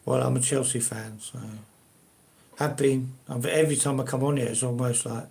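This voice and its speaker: A man's voice: medium pitch, fairly even intonation, normal speed, gravelly, a little mellow, slightly rough. Older South London man chatting on a live stream. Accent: South London, Cockney-ish. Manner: rambling, hesitant, many fillers and restarts. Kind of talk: casual live-stream chat with viewers.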